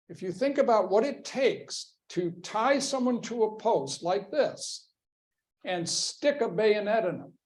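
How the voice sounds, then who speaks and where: Male lecturer, slightly high voice, slow deliberate delivery, emphatic, rhetorical questions. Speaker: history lecturer. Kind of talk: classroom lecture.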